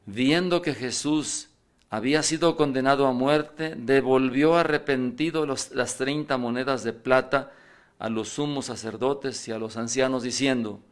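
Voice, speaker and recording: A man's voice: fairly bright tone, smooth. An older man, a priest, reading the Passion aloud at Mass into a stand microphone in a church, lifting his voice for the quoted lines. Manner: reads aloud, raising pitch and volume for quoted voices. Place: church, indoors at a lectern. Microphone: stand mic in front.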